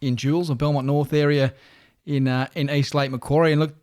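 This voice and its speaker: A man's voice: a little high, flat delivery, brisk, a little mellow, clear, resonant tone. An Australian man in his forties from Newcastle, a stadium announcer talking as a podcast guest in a friendly, rambling way with many restarts. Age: 40s. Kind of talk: casual podcast interview.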